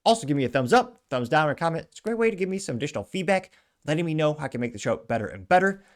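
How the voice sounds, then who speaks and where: A man's voice: fairly high pitch, very animated, racing. A man hosting his own show, reading social media posts aloud with chatty asides in casual news commentary. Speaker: show host.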